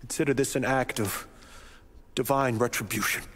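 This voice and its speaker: A man's voice: medium pitch, steady tone, moderate pace, heavy background noise, natural voice. Actor as a vengeful, mocking gangster gunman. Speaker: video game character. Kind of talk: cinematic crime drama acting.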